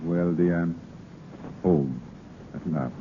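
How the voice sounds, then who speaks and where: A man's voice: deep voice, extremely slow. An actor playing an eager, devoted man of means in an old radio drama, fond and reassuring. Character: eager, devoted wealthy man adoring his sweetheart. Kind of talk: 1930s-40s radio drama acting. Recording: tinny noisy vintage radio recording.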